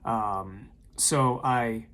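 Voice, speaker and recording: A man's voice: mid-range voice, very slow, a bit bright, slight background noise. A man casually running through his weekend eBay sales, talking loosely with frequent ums and uhs from a room at home. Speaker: eBay reseller vlogger. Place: home room with shelves of tapes.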